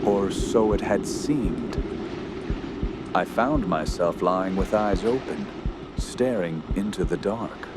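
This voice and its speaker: Lowish male voice in character, fearful gentleman camper, solemn literary delivery, horror radio drama, clear music underneath. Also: expressive, slow. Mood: brooding dread. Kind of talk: radio drama narration and dialogue.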